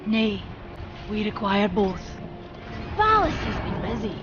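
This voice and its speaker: Fantasy game role: solemn, businesslike female forge keeper. Medium pitch, formal delivery, slightly dull recording. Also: sing-song, slow, background noise. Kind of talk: dramatic video game voice acting. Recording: slightly dull audio under music.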